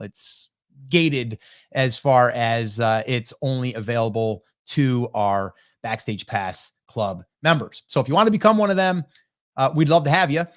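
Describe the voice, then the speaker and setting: A man in his forties hosts a livestream podcast from his desk, his middle-pitched voice still a little hoarse from a recent cold. He talks in a loose, upbeat, chatty way, full of fillers. The sound is a plain desk mic over a video call. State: slightly hoarse, recovering voice. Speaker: podcast host. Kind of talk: casual livestream podcast chat.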